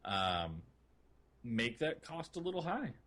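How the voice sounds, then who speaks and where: A man speaks in a husky, mid-range voice as a podcast co-host. His delivery is casual and conversational, rambling a little as he rewords his points. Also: mid-range voice, sing-song, slow pace, a bit bright. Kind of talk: casual podcast chat about gaming.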